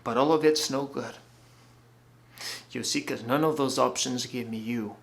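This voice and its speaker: A man's voice: medium pitch, unhurried, gravelly. A man playing a hurt, jealous young fiancé in a romantic drama monologue, earnest and insistent. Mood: pleading. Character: wounded, jealous young fiancé, pleading yet proud.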